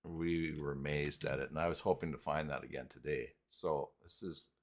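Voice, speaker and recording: A man hosting a casual live software tutorial, talking haltingly and muttering to himself as he works through things on screen. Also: extremely low pitch, steady tone. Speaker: live stream host, software tutorial presenter. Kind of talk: casual unscripted screen-share walkthrough.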